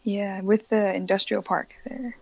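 A woman's voice: mid-range voice, steady tone, a little slow, vocal fry, darkish tone, no room sound, highs cut off. A young woman from Thailand, a university student chatting casually as an interview guest. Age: young adult.

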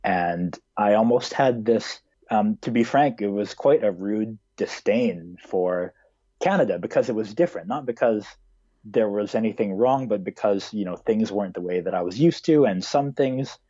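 A man's voice: lowish voice, expressive, normal speed, dark tone, no room sound, lo-fi sound. A man in his twenties who grew up in Hong Kong, answering interview questions in a casual, hesitant way with many fillers. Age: 20s.

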